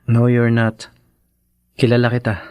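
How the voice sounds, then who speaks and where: An actor playing a devoted, persistent young suitor in an earnest, pleading Filipino radio drama style. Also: male voice, medium pitch, completely flat delivery, unhurried, darkish tone, clear, resonant tone, no echo, soft background music, natural voice. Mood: concerned. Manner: earnest, pleading, mixes in English.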